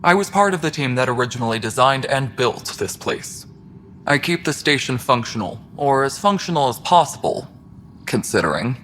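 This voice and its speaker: An actor playing a weary, matter-of-fact station superintendent in a video game. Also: male voice, medium pitch, expressive, moderate pace, fairly bright tone, close to an everyday voice. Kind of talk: video game dialogue acting. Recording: faint background noise.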